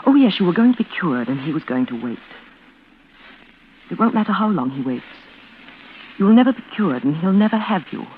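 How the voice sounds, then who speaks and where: A woman's voice: fairly low pitch, very animated, a little fast, pauses often, a little hiss or hum. An actress playing a bitter, scheming woman caring for her mad sister, in melodramatic, intense 1940s radio suspense style. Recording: tinny vintage radio recording, loud organ music.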